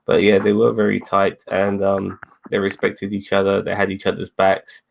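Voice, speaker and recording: A man with a fairly low pitch and plenty of fry in his voice talks casually as a co-host. He rambles, repeats his phrases and drops swear words as he goes. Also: monotone, moderate pace, slight background noise, low-quality recording. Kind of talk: casual wrestling review chat.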